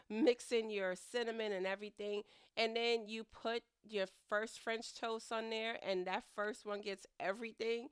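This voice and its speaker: A woman's voice: medium pitch, clean tone, no room sound, clean studio sound. Chatty woman, nasal from a cold. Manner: chatty, rambling, laughs at herself. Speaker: home cooking vlogger. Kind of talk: casual cooking narration. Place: home kitchen.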